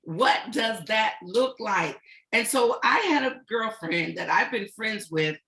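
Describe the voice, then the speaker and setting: A woman in her sixties hosting an online panel chat, with a booming, upbeat and encouraging way of talking over a video call. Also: lively intonation, slow, bright, forceful tone, reverberant. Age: 60s. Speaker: host.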